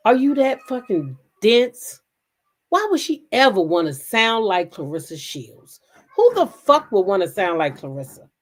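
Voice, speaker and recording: A woman's voice: mid-range voice, very animated, unhurried. Casting: female livestream host, mid-range voice, blunt and emphatic, salty-mouthed, casual reaction commentary.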